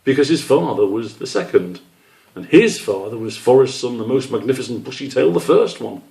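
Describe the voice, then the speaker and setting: A man's voice: mid-range voice, animated, normal speed, creaky, dark tone. A man voicing a proud, long-winded father squirrel in a children's story, explaining things with emphasis.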